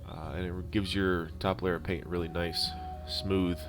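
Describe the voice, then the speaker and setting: A man narrating a DIY prop-building tutorial in a casual, unscripted and halting way. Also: sits low, level tone. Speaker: DIY prop-making video host. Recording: instrumental music behind the voice. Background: music.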